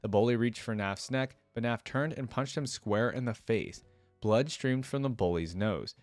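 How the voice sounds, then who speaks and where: A man's voice: mid-range voice, normal speed, darkish tone, no echo, soft background music. A man in his thirties doing casual, conversational reaction commentary and story reading, close on a desk microphone. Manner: conversational, checks in with "you know". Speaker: reaction video host. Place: small indoor streaming room.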